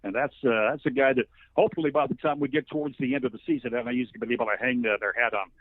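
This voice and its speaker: A man's voice: medium pitch, rapid-fire, no room sound. Over a thin, dry phone line comes an American man talking sports in an interview. He sounds like a folksy play-by-play announcer, chatty and fond of telling stories at length. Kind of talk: conversational sports commentary interview.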